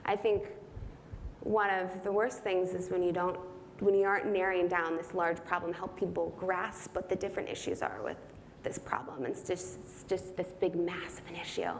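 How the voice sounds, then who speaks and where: A woman's voice: fairly low pitch, normal speed, slight background noise. A young woman answering audience questions at a talk, thinking aloud and often tagging her points with "right?". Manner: earnest, thinking aloud, frequent "right?" tags. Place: conference room.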